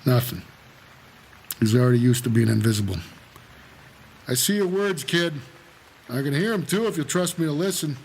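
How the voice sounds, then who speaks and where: An American actor playing a weary, guarded supernatural driver who narrates an audio drama in terse, measured noir style. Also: male voice, mid-range voice, lively intonation, a little mellow, a little hiss or hum, lightly acted, rough recording. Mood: calm, reassuring.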